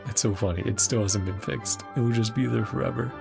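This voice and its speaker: A man's voice: mid-range voice, brisk, vocal fry. A man casually reacting to a game, chatty, laughing often and reading lines aloud. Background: game music and dialogue.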